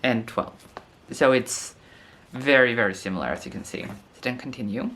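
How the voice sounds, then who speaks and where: A man's voice: mid-range voice, fairly bright tone, slight background noise. A young man with a Swedish accent, a language teacher talking casually to camera and sounding out foreign words as he thinks aloud. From Sweden. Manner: slow and musing, sounds out foreign words tentatively. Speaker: language teacher and video host.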